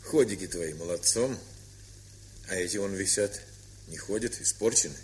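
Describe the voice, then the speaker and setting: A good-natured grown man in a Soviet radio drama, voiced by an actor, frank and calm. His low voice is measured and gently teasing, with a faint hum on the old recording. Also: natural voice. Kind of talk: Soviet radio play acting.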